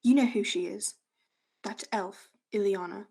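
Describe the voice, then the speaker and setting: A menacing bandit from a fantasy radio play, acted by a teenage girl, demanding and threatening. The voice sits at an average pitch for a young woman. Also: unhurried, creaky, dark tone. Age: teens. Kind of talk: student radio theatre acting.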